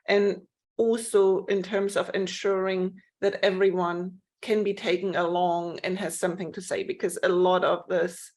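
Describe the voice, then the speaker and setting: A woman moderating an online panel discussion, speaking in long, measured sentences. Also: on the low side.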